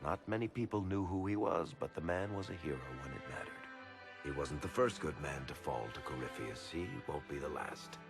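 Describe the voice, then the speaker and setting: An actor playing a weary, wry storyteller in a video game, with a gravelly voice. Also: male voice, extremely low pitch, fairly quick. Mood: somber, reflective. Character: weary, wry male storyteller grieving fallen comrades. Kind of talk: video game dramatic acting. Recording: music behind the voice.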